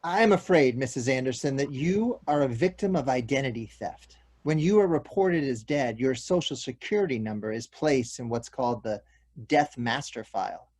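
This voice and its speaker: An actor playing a courteous, apologetic police detective who explains bad news in a measured, official way at a table read. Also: male voice, mid-range voice, expressive, a little mellow, natural voice. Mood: apologetic, explanatory. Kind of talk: stage comedy-drama table read.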